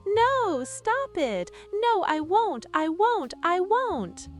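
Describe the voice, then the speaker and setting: A woman's voice: extremely high pitch, big pitch swings, extremely slow, faint music behind, pitched above the performer's own voice, exaggerated voice. A woman voicing a stubborn, squeamish little girl in a bedtime story, protesting emphatically. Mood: giggly protest.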